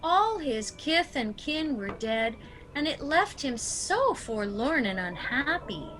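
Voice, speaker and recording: High, sprightly, prim old aunt, played by a woman. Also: big pitch swings, slow, a little hiss or hum, exaggerated voice, low-quality recording. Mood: fondly reminiscing. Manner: genteel, gently scolding. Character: sweet, prim, cheerfully unrepentant elderly aunt. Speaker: actor. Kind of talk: theatrical radio comedy acting.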